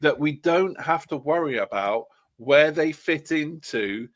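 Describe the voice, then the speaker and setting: A man on a podcast panel, chatting casually and enthusiastically in a halting way, repeating words as he builds his points. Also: on the high side, expressive.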